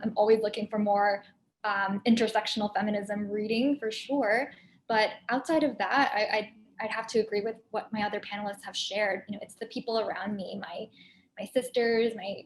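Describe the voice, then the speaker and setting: A woman's voice: medium pitch, slight background noise, low-quality recording. A woman panelist speaking thoughtfully on a video call, with frequent fillers and restarts. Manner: halting, many restarts and fillers. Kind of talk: casual panel discussion.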